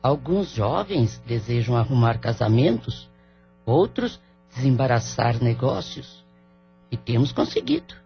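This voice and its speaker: An actor playing an elderly, businesslike woman spiritual worker in an audio drama, speaking in a measured, formal way. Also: mid-range voice, very animated. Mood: guarded, assured. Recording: dull lo-fi audio with music underneath.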